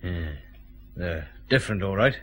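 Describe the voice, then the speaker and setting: Deep-voiced, wry detective. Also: male voice, expressive, measured pace, fairly bright tone, slight background noise. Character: dry, joking, down-to-earth male police detective. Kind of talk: radio detective drama acting.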